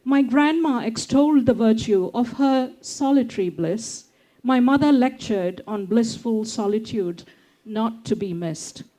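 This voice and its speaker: Female poet from India, bright-pitched but mellow, measured reader, halting speaker. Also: rough recording. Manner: measured recitation, then hesitant chat with many fillers. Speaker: poet on a festival panel. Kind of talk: poetry reading then panel talk.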